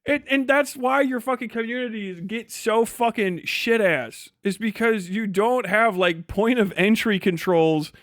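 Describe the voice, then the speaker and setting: A man's voice: high voice, a little slow, few pauses, dry recording, clean studio sound. A man doing casual livestream commentary, ranting in a profane, sarcastic and exasperated way. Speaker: livestream host reacting to other streamers.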